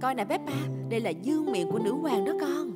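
A woman voicing a calm, gentle mother pig in a cartoon dub, with loud music behind. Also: high-pitched, fast talker, in a large hall. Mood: patient. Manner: gentle and patient.

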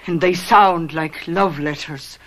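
A woman's voice: lowish voice, slow pace. An actress playing an anxious, disapproving woman servant and guardian in a radio drama. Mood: reproving.

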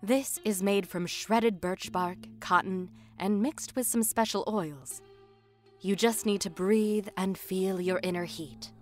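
A woman's voice: medium pitch, soft background music, close to an everyday voice. Woman playing a stern, composed female martial instructor. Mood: calm, instructive. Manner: measured, authoritative, instructive. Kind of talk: fan visual novel voice acting.

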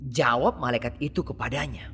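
A man reading a gospel passage aloud in a slow, measured, reverent way. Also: medium pitch, fairly bright tone, soft background music. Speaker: scripture reader. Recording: long hall reverb.

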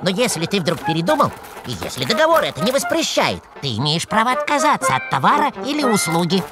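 A woman's voice: mid-range voice, sing-song, fast talker, natural voice. A woman voicing a cheerful, knowing little mouse who explains things in an educational cartoon. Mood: instructive. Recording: loud music behind.

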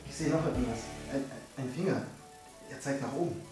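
A man's voice: medium pitch, a little fast, distant mic. A young man hosts from a living-room couch in a loose, joking manner. His voice bounces around an echoey room. Music plays behind him. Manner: jokey, playful. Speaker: comedy channel host.

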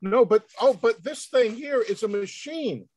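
A man speaking off the cuff in a comic, excitable way, often repeating words as he goes on a video call. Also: very high voice, measured pace, no echo. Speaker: panel reader answering questions. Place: indoor room on a video call.

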